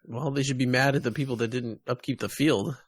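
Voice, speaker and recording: A man's voice: medium pitch, fairly even intonation, fairly quick, slightly rough, no room sound, clean studio sound. A man co-hosting a podcast, chatting casually about sports. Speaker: podcast co-host.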